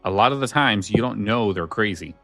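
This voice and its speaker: A man's voice: fairly low pitch, animated, a little fast, fairly bright tone, no echo, soft background music. A man hosting a podcast in casual conversation about scary stories, often repeating words as he feels his way along. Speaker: podcast host.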